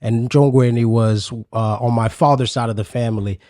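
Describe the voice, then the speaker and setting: Guest voice: South African-born man, mid-pitched, dry close mic, thoughtful storyteller. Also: fairly even intonation, warm tone, no echo. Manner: reflective, unhurried storytelling with frequent hesitations. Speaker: podcast guest, musician. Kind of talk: casual interview.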